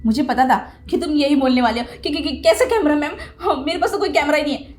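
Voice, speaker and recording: A woman's voice: high-pitched, fast, a little mellow, faint music behind, close to an everyday voice. A woman playing a stern, sarcastic female tutor in an acted short drama. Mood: sly, sarcastic.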